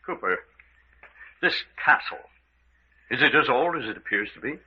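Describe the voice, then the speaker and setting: A suspicious investigator in a radio mystery. His mid-range voice is rough and breathy, his questions short, direct and insistent. The recording is muffled and lo-fi. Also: medium pitch, animated, normal speed. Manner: terse, probing, direct. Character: blunt, suspicious male adventurer-investigator, unafraid and insistent. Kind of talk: old-time radio mystery acting. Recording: dull, lo-fi radio drama recording.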